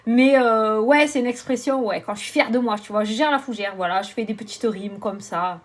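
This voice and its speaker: A chatty woman talks straight to her camera, bubbly and self-mocking, cursing now and then. Her voice sits a little higher than most women's. She runs a card-reading vlog. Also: a little fast. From southern France. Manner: animated, swearing, laughing, self-mocking. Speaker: tarot card reader.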